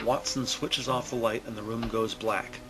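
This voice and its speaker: A man reading scripted audio drama narration in a deliberate, descriptive storytelling manner. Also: medium pitch, little pitch movement. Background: prominent music.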